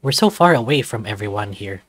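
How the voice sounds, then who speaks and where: A man's voice: lowish voice, expressive, a little fast, fairly bright tone, breathy edge, no room sound. A man reading visual novel narration aloud as a let's-play narrator.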